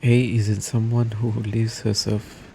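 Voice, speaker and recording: A man's voice: on the low side, flat delivery, slow, gravelly, very noisy. A man giving casual gameplay reaction commentary as he plays, mostly in brief interjections. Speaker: gamer, playthrough host.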